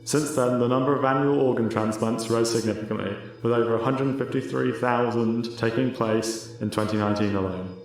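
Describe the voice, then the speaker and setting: A man's voice: mid-range voice, steady tone, brisk, few pauses, faint music behind. A man reading scripted educational narration in a formal presenting style. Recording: very echoey room.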